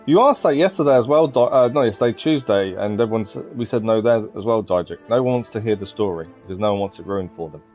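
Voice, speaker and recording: Fast-talking, low-voiced male streamer. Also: animated. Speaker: live streamer. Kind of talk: game livestream commentary, reading dialogue and chatting. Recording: slightly dull sound, game music behind. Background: faint game music.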